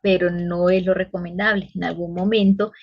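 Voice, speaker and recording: A woman podcast guest working as a financial advisor, explaining retirement saving and investing in a measured, patient way. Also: lowish voice, little pitch movement, dry recording, lo-fi sound. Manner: explanatory, measured advice-giving. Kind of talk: casual podcast interview.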